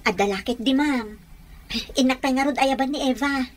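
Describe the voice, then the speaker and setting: A woman's voice: sits high, normal speed. A woman with a Filipino accent playing a dutiful, gossipy senior housemaid in a radio drama. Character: gossipy older-sister housemaid, dutiful and wary. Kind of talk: Ilocano radio drama acting.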